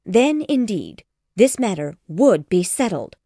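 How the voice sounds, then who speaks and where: A woman's voice: mid-range voice, very animated, slow pace, mellow, dry recording, studio quality, heavily acted. A woman voicing a spirited young woman who firmly and earnestly refuses a suitor. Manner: firm, earnest, exasperated. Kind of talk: storybook character voice.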